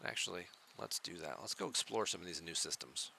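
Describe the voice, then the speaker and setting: A soft-spoken man streams a game from his home setup and chats easily with viewers. His voice sits a little low in pitch but comes across very bright and forward. He talks in a relaxed, chatty way. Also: a little fast, creaky, slight background noise. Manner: relaxed, chatty, answers chat questions. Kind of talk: casual live-stream chat with viewers.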